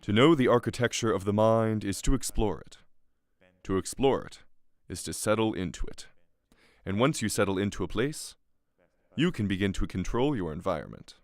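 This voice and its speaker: A man playing a measured, philosophical narrator with a deliberate, rhetorical delivery in a radio play. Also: on the low side, lively intonation, dry recording. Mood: measured, intriguing. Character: measured philosophical narrator guiding down through a mind. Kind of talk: scripted radio drama narration.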